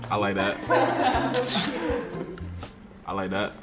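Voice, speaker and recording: A man's voice: low-pitched, completely flat delivery, slow. A young man streaming, reacting to a dating video and joking in slang to his chat from a desk mic. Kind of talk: casual reaction commentary to chat. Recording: loud music behind the voice.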